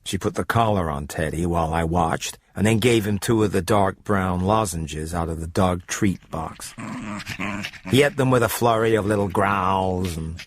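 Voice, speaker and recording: A man narrating a first-person radio drama story in a literate, measured storytelling manner. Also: sits low, dry recording.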